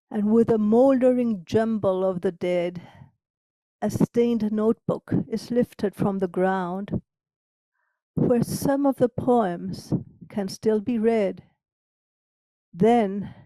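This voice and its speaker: Slow, mellow woman poet from Mexico. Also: mid-range voice, no room sound, rough recording. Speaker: poet reading own work. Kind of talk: introducing and reciting own poem.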